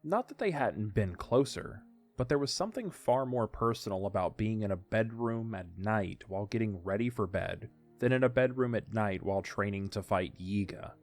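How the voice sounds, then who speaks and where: A man's voice: fairly low pitch, moderate pace. A man reading scripted story narration as the narrator of an audio drama. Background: faint music.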